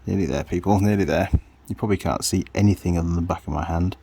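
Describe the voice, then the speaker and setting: A man with a husky voice giving casual hands-on workshop commentary, muttering to himself and his viewers as he works. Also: low voice, fast, mellow. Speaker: vlogger, hobby mechanic.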